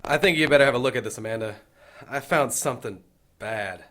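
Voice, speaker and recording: A man's voice: mid-range voice, a little fast, fairly bright tone, natural voice. An actor playing an earnest young rookie policeman with a matter-of-fact manner in an audio drama. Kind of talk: radio drama acting.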